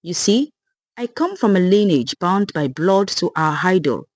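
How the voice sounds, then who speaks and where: An older village mother as a storyteller reads her from a dark folktale, serene and unfazed. She explains herself softly and patiently in a slightly breathy voice with a swaying melody, recorded dry. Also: mid-range voice, lively intonation, a little slow, no room sound. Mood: patient, unrepentant.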